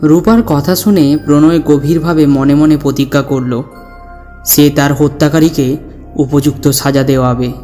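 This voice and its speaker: A man narrating a scripted horror story in a measured, solemn, dramatic way. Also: a little high, mellow. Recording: long reverb, music behind.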